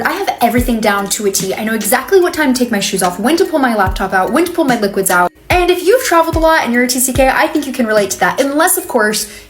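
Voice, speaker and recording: A young American woman, raised abroad, chatting in an upbeat list-style vlog and speaking to her viewers. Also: high voice. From American, raised in Tunisia. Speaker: content creator.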